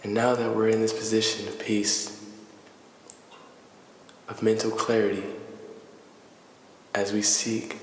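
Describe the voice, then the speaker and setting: A man leading a guided meditation in a calm, deliberate, soothing manner, pausing between measured phrases. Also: on the low side. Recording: echoey reverberant voice with faint noise.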